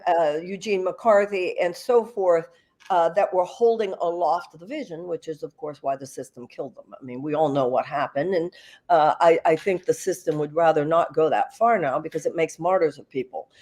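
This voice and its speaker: A woman's voice: low-pitched, normal speed, darkish tone. An older woman from the United States, speaking earnestly and reflectively as a guest on an online panel, with many fillers and restarts. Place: video call.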